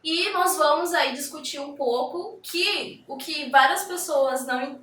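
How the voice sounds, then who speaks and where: A woman host with a bright, forceful voice pitched a little high talks in a chatty, jokey way full of fillers. She sits somewhat back from the mic in an echoey room with a faint hum of ambience. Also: unhurried, far from the mic. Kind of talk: casual interview hosting.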